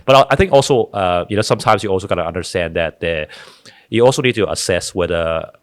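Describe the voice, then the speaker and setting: A man's voice: low voice, fast, no room sound. A middle-aged man, a podcast guest telling stories earnestly, often restarting his sentences. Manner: earnest, storytelling, frequent restarts. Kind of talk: casual interview talk.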